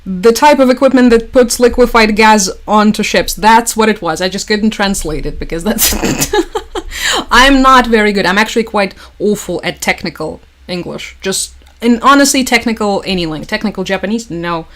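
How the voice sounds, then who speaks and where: Woman, young, medium pitch, sardonic livestream commentator. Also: expressive, fairly bright tone. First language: Russian. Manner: sarcastic, wry, quick to laugh. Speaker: livestream host. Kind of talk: casual news commentary to chat.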